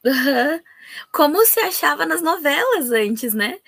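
A Brazilian woman hosts a relaxed podcast chat, emphatic and animated. Her voice sits fairly high with a gravelly edge, recorded close and dry. Soft music plays beneath. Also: measured pace, no echo. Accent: Brazilian Portuguese. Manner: animated, emphatic, often exclaims "gente". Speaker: podcast host. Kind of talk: casual podcast interview.

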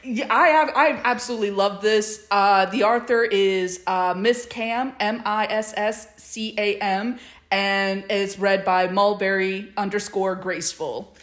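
A woman's voice: medium pitch, fairly even intonation, a little slow, a bit bright. A woman co-hosting a podcast in casual chat, enthusiastic and giggly as she recaps and recommends stories. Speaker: podcast co-host.

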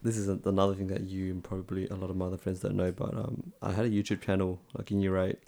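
A man's voice: deep voice, little pitch movement, quick pace, creaky, warm tone. A young man with a strong Australian accent, talking in a laid-back casual chat full of slang and "like". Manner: laid-back slangy talk, lots of "like" and "bro".

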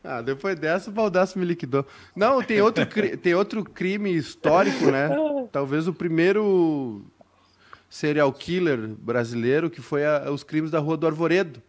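Rambling gaúcho man telling a story. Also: a little high. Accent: southern Brazilian (gaúcho). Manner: rambling, halting storyteller with many "né?". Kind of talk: casual chat, storytelling.

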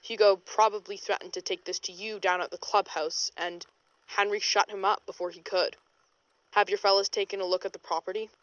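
A woman's voice: mid-range voice, fairly even intonation, fairly quick, fairly bright tone, no echo, lo-fi sound, lightly acted. A woman playing a hard-boiled, world-weary female paranormal investigator in a 1920s noir audio drama, with wry, slangy delivery. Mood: brisk, wry. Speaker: investigator and narrator. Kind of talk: audio drama, noir-style recorded case statement.

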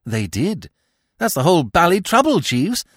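Here is an excitable young English gentleman from a comic storybook. His upper-class voice rides high and swoops wildly as he dithers. He rambles in flustered slang, recorded close and dry. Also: moderate pace, dry recording, exaggerated voice. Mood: glum, agitated. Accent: British upper-class.

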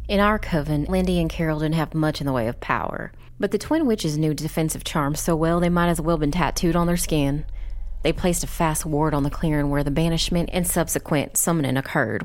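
Woman as a low-voiced young witch storyteller. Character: young witch narrator recounting her family's ordeal, grave. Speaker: first-person narrator. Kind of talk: scripted dark fantasy audiobook narration.

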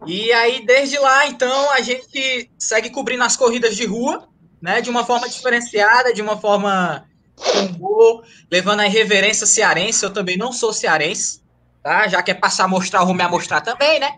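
A man's voice: high voice, moderate pace, bright, forceful tone. A man with a Ceará accent, from Rio but raised in Ceará, telling the story of founding his running humor group in a casual, slangy way. He jokes as he goes and keeps tacking on tag questions. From Rio de Janeiro, raised in Ceará. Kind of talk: casual interview over a call.

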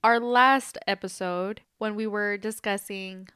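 A young woman co-hosting a podcast, talking stuffy from allergies in an animated, casual, swearing way. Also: mid-range voice, measured pace, clean tone, dry recording, studio quality. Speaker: podcast co-host. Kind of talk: casual chat.

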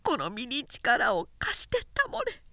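Woman acting out noble widow, high delicate pitch, plaintive yet resolute, archaic ladylike wording, dry studio-like sound, storybook reading. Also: wildly swooping pitch, measured pace, sharp tone, dry recording, full character voice. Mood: tearful, pleading. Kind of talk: dramatic literary audiobook reading.

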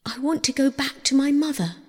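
A high, pleading young captive girl. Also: lively intonation, brisk. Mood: sobbing. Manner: pleading, crying out. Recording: long hall-like reverb.

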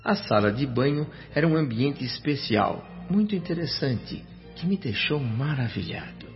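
A man's voice: medium pitch, big pitch swings, moderate pace. An actor with a Brazilian accent playing an earnest, grateful spirit who narrates his own story in a radio drama, reverent and literary in manner. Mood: reflective narration. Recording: dull radio recording, faint music.

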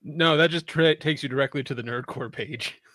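A man's voice: a little high, normal speed, pushed and bright, no echo. A man co-hosting a live podcast in a casual way, tossing in dry quips and reading out the chat. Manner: dry quips, reads chat, short replies. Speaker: podcast co-host.

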